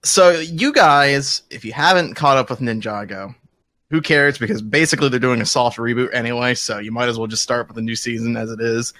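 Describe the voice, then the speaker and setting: A man's voice: mid-range voice, brisk, sharp tone, dry recording. A man co-hosting a casual podcast, teasing and ribbing the others in loose group banter. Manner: teasing, ribbing his co-host. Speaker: podcast co-host.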